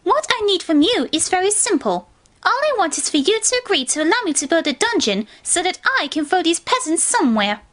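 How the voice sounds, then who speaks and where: A woman's voice: very high voice, big pitch swings, a little fast, a bit bright, exaggerated voice. A woman voicing a vain, bratty young pony princess with an imperious, boastful manner in a cartoon fan fiction reading. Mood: annoyed, scornful.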